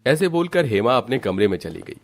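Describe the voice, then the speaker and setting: A man's voice: medium pitch, sing-song, brisk. A man narrating a scripted moral story for a cartoon in a storybook style.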